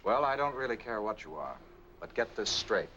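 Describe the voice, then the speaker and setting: Earnest lovestruck man, forceful edge. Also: lowish voice, very animated, normal speed, lo-fi sound, slight background noise. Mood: defensive, curt. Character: lovestruck, defensive young man searching for his missing sweetheart. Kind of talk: 1940s film acting. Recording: 1940s film soundtrack with music.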